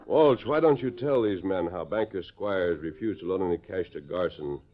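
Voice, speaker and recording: A man's voice: low voice, moderate pace, lightly acted. A man playing a stern, resolute masked hero, commanding and declamatory, in a 1940s western radio drama. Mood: stern, accusing. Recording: tinny, noisy 1940s radio recording.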